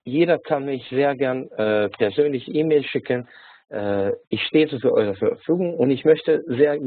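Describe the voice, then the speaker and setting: A man from Hungary speaking with a Hungarian accent, teaching an online lesson on a video call, halting with many filler sounds and restarts. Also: medium pitch, no echo. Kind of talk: online lecture. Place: small indoor room. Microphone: webcam or laptop mic on a video call. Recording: tinny phone-like video call.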